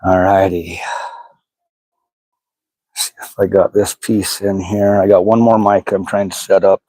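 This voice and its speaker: A hoarse man with bronchitis hosting a livestream, chatting casually and speaking straight to his viewers. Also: low-pitched, warm tone, no echo, rough recording. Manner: informal, talks directly to the chat. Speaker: livestream host.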